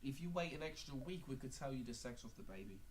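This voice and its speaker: A man putting on a calm clinic doctor for a prank phone call. He speaks politely and haltingly, with a careful clinical tone at a middling pitch, over faint background noise. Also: close to an everyday voice. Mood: calm, official. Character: calm male clinic doctor giving awkward bad news. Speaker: prank caller. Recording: noisy amateur phone-call recording.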